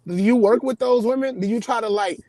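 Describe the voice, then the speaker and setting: Probing high-voiced man interviewing from a car. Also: darkish tone, no echo. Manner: probing, measured questioner. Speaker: host. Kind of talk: casual live-stream interview.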